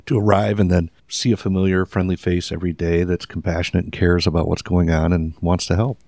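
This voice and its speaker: Man, podcast co-host, low pitch, dry studio mic, enthusiastic, casual interview. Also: flat delivery, fairly quick, warm tone, dry recording. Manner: enthusiastic, praising.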